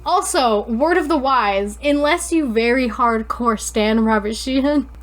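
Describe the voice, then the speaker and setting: A woman co-hosting a podcast in casual banter, talking in a giggly, expressive, rambling way. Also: a little high, unhurried. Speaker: podcast co-host.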